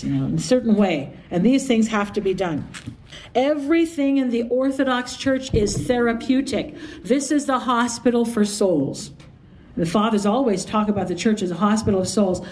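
Higher-voiced woman teaching about faith. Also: darkish tone, low-quality recording. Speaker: theology professor and podcast host. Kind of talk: informal religious teaching talk.

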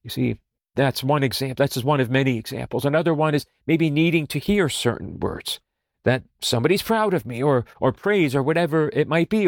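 A man hosting a podcast, talking in a gentle, reflective way with lots of "you know" fillers. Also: fairly high pitch, creaky, no room sound, clean studio sound. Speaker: podcast host. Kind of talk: reflective spoken talk.

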